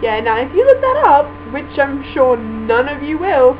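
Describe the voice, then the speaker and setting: A woman's voice: high-pitched, big pitch swings, moderate pace, soft background music. A young woman vlogging casually, goofy and self-mocking, also reading aloud from a book. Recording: muffled webcam audio with mains hum.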